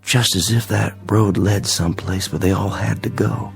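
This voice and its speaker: An actor playing a weary wounded sergeant in a radio drama, courteous and plain-spoken but resolute. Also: male voice, lowish voice, fairly even intonation, vocal fry, faint music behind. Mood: resolute, haunted. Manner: plain-spoken, polite, halting.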